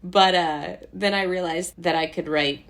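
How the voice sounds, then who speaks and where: A woman's voice: lowish voice, a little slow. Chatty American woman, guest on a podcast. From Brooklyn, United States. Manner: animated storytelling, acting out quoted remarks. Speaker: interview guest, playwright. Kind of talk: casual interview chat.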